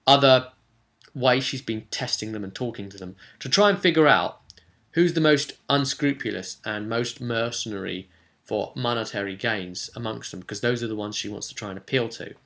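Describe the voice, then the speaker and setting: A man recapping a tabletop roleplaying session as game master, telling it in an animated way with emphatic asides. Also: medium pitch, normal speed, gravelly.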